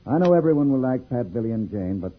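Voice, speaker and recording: Grave, slightly husky judge. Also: male voice, medium pitch, big pitch swings, quick pace, phone-quality sound. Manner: earnest, formal. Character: grave, worried male judge of planetary league. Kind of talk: 1950s radio serial acting.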